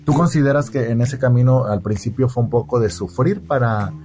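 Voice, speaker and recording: A man with a Mexican accent hosting a conversational interview, repeating words haltingly as he forms his questions. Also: medium pitch, dark tone, faint music behind. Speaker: interviewer, host.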